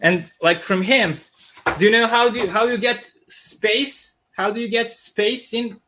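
A man from Serbia with a thick Serbian accent, a comic artist telling stories in a casual interview over a video call, animated and joking, with many restarts. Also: high voice, a little slow, low-quality recording.

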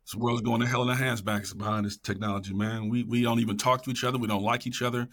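A man's voice: medium pitch, little pitch movement, fast talker, background noise. A middle-aged man talking casually and passionately as an interview guest, emphatic and repeating phrases for effect. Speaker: podcast guest, educator.

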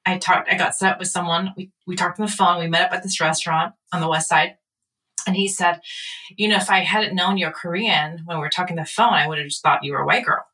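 Female podcast co-host from LA, bright tone, thoughtful delivery, set back from the mic. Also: lowish voice, fairly even intonation, fast, recorded from a distance, low-quality recording. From Los Angeles, US. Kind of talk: casual podcast chat.

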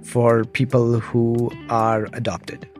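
A man's voice: medium pitch, completely flat delivery, slow, faint music behind. A man co-hosting a podcast interview, asking careful, thoughtful follow-up questions. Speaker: podcast co-host.

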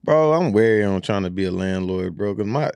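A young adult American man co-hosting a podcast, bantering casually in animated, profane slang. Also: lowish voice, brisk, gravelly, smooth, no room sound. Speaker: podcast co-host.

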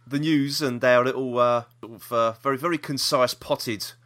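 A man's voice: mid-range voice, fairly even intonation, normal speed, a bit bright. A British man hosting a podcast in casual chat, hesitant and rambling, often repeating himself. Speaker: podcast host.

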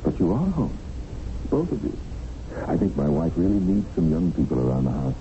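An actor playing a wry, unruffled wealthy capitalist in a radio drama, speaking deliberately. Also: male voice, low-pitched, very animated, mellow, slight background noise. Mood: gentle, wry. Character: wry, wealthy married male capitalist, kidnapped but unruffled. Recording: muffled old radio broadcast.

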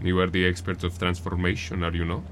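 A man acts out a menacing gang boss for an audio drama. His voice is very deep, with formal, probing, deliberate phrasing over faint ambience. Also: monotone, slight background noise, lightly acted.

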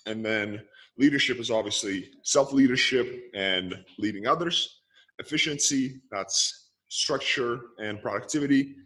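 A man speaks as a podcast guest in easy, casual talk. He is a coach and lays out his points in quick, stacked lists. His voice sits at an ordinary middle pitch. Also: a bit bright, poor audio. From Lithuania. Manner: quick, lists ideas one after another.

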